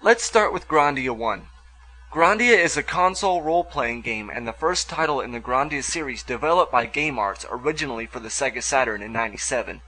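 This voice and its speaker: Male review host, mid-range and bright, scripted narration over light background music. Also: medium pitch, expressive, a little fast, poor audio. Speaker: host of a video game review show.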